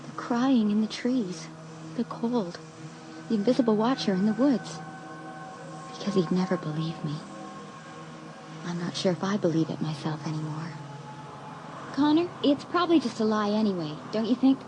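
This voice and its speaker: A woman's voice: medium pitch, animated, normal speed, pauses often, music in the background, lightly acted, poor audio. An actress playing an uneasy teenage girl in a dramatised audiobook, with an anxious, brooding manner.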